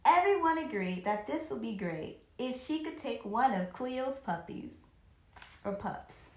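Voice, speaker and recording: A woman with a fairly high voice reads a children's story aloud in a roomy, echoing space. Her delivery is very lively and expressive, with an occasional stumble as she goes back over a phrase. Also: a little slow. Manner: animated, with occasional stumbles and rereadings.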